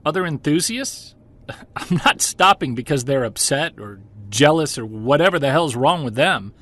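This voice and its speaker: A man's voice: on the high side, very animated, normal speed, fairly bright tone. An actor playing a stubborn, driven Bigfoot hunter talking on the phone while he drives. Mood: defiant. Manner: defensive, restless. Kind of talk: audio drama acting. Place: driving a car.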